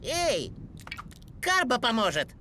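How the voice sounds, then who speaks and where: A man voicing a small, fussy green wish-granting creature in a dubbed cartoon, talking in eager, excitable bursts. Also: very high voice, slow pace, slight background noise, exaggerated voice. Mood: excited. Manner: bouncy, exclamatory, eager. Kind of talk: cartoon dub acting.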